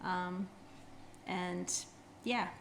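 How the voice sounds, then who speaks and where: A woman's voice: lowish voice, fairly even intonation, extremely slow, vocal fry, slight background noise. A middle-aged American woman chatting casually and informally to camera as a hiking vlogger, rambling a little as she goes. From United States.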